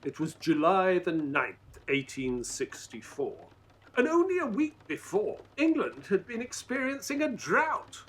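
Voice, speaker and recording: A man playing an earnest Victorian gentleman who narrates a detective audio drama. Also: medium pitch, slight background noise.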